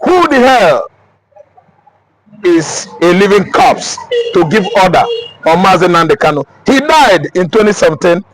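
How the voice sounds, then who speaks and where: Nigerian guy, pretty high voice, keeps repeating himself and hammering his point. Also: sing-song, measured pace, a crowd in the background, poor audio. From Anambra State, Nigeria. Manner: emphatic, repetitive, declaiming. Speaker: interviewee. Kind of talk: heated street interview talk.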